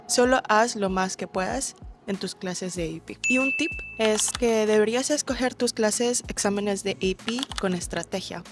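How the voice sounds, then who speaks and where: A woman's voice: mid-range voice, a little hiss or hum. Young woman giving advice. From Ecuador. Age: young adult. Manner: friendly, direct, mixes in English words. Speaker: vlogger. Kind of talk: advice vlog to camera.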